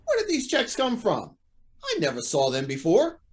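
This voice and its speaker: A man's voice: fairly high pitch, big pitch swings, a little slow. A man playing a proud, indignant judge who feels cornered, in an old-time radio drama with a formal, blustering manner. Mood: flustered, disbelieving.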